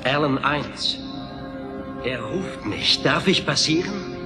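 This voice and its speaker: Earnest heroic man over loud music. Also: a little high, expressive, slow. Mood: earnest, urgent. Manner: earnest, urgent. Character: heroic, determined young male warrior program. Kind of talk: dramatic radio play acting. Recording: echoey voice under loud music.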